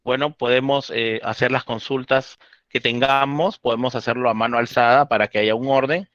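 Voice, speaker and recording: A man's voice: mid-range voice, fairly even intonation, moderate pace, a bit bright, dry recording, highs cut off. A man moderating a virtual training session who thanks the speaker politely and invites questions, with hesitant fillers.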